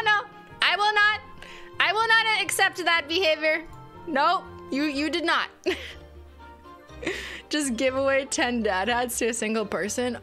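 Bright, high-voiced young woman livestreaming. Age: young adult. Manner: playful, teasing, laughs often. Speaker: livestream host. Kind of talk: casual livestream chat. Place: home streaming room, indoors. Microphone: studio mic on boom arm.

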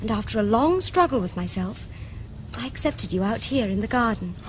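A woman's voice: a little high, lively intonation, normal speed, slight background noise, natural voice, low-quality recording. An actress playing a prim, romantic young Victorian lady in a period comedy, with precise, formal Received Pronunciation. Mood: dreamy, matter-of-fact. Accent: English Received Pronunciation. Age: young adult. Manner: precise, formal, earnest diction. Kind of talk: period stage comedy acting for television.

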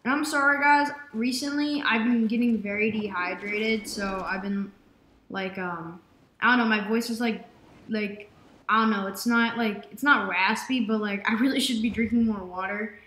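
A young woman giving casual gameplay commentary, rambling with many fillers, her voice slightly raspy from dehydration. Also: medium pitch, moderate pace, reverberant. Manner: rambling, filler-heavy, excitable. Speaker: gaming YouTuber.

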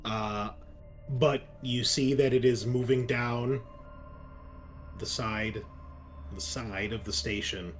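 A man narrating a tabletop roleplaying game as game master, casual and halting as he improvises descriptions. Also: medium pitch, fairly even intonation, soft background music.

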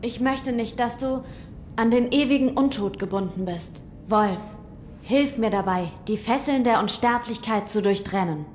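A woman's voice: normal speed, close to an everyday voice. A woman in an audio drama playing an earnest, resolute young noble boy with a grave, formal way of speaking. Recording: long hall reverb, faint rumble.

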